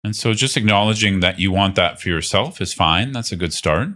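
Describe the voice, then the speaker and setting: A man leading a guided meditation as a teacher, speaking gently and unhurriedly with long pauses. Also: low voice, expressive.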